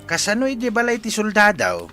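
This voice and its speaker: A man's voice: high voice, wildly swooping pitch, a little fast, over music. A man playing a cheeky, teasing village father in an Ilocano comedy radio drama, with an Ilocano accent. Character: cheeky village father, eager to visit and freeload.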